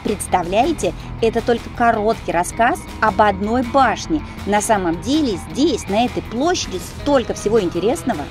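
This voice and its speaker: A woman narrating a travel video as its host, in an expressive, storytelling voice-over. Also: mid-range voice, normal speed, few pauses. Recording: voice-over with music behind.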